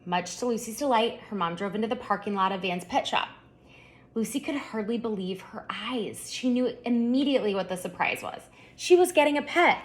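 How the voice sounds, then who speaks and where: A woman author narrating her children's book at storytime, with expressive, deliberate phrasing for young listeners. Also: a little high. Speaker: children's author reading aloud.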